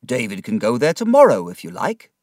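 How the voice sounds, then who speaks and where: A man's voice: medium pitch, huge pitch swings, a little fast, a little mellow, no echo. A man voicing a large, polite older male lawyer who answers courteously and obligingly. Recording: dry, distant from the mic.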